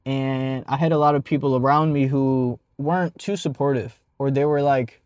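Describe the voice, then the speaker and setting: A young man who stutters talks through a casual storytime vlog, breaking into repeated sounds and restarts and filling gaps with fillers. His voice sits at an average pitch, and the sound is close and dry, with no room echo. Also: fairly even intonation, moderate pace, a little mellow, muffled recording. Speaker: vlogger.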